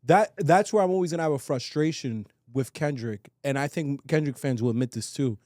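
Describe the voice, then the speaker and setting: A man's voice: mid-range voice, a little fast, darkish tone, dry recording, clean studio sound. A man talking casually and with opinions as a podcast co-host, slangy and emphatic, swearing and repeating phrases for weight.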